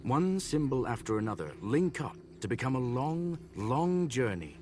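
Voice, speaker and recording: A man voicing a learned mechanical gentleman in a video game, formal, calm and eloquent. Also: medium pitch, normal speed, lightly acted. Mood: calm, musing. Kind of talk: scripted video game dialogue. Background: game music.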